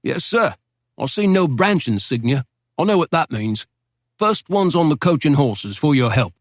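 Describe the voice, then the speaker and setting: A man's voice: a little high, big pitch swings, normal speed, dry recording, clean studio sound. A man voicing a deferential British barman who is eager to chat, in a storybook reading. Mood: eager, polite. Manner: deferential, formal.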